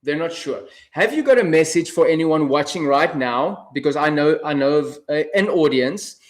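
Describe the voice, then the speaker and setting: A man conducting a conversational interview in an earnest, somewhat halting way, searching for his words. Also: on the high side, animated.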